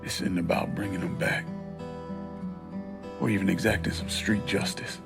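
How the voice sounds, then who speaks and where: A grim, resolute young American man back from war in a game cutscene. His voice sits low and he speaks bluntly, like someone set on revenge. Music plays clearly underneath. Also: flat delivery, normal speed. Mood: vengeful. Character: vengeful young Vietnam veteran, male, grim and determined. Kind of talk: video game cutscene acting. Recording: music behind the voice.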